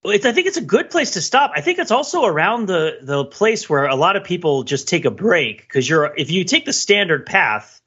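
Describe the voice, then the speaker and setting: A man's voice: high voice, very animated, normal speed, fairly bright tone, breathy edge, muffled recording. An American man co-hosting a podcast, talking casually in a discursive, analytical way and telling personal anecdotes. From New Jersey, United States. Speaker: podcast co-host.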